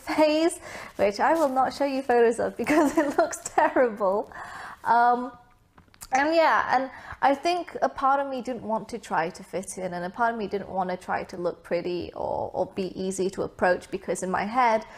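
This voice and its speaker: A young woman from Vietnam, raised abroad, answering interview questions in a chatty, casual way with many fillers and asides. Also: mid-range voice.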